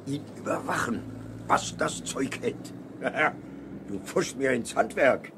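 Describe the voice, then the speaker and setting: A man's voice: mid-range voice, expressive, takes it slowly, background noise. An actor playing a jovial, bluff small-town policeman who teases good-naturedly in a 1970s German radio play. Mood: jovial, teasing. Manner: jovial, teasing.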